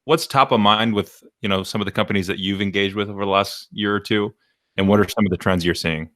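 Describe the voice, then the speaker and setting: A man hosting an interview podcast, framing questions in a measured way with a few fillers. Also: fairly low pitch, steady tone, a bit bright. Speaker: podcast host.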